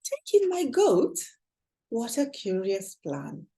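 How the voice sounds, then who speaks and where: A woman's voice: a little high, huge pitch swings, slow, mellow, full character voice. A woman with a Kenyan accent voicing a fretful little old woman who wails and pleads about her cramped house in a storybook. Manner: wailing, exclaiming and pleading.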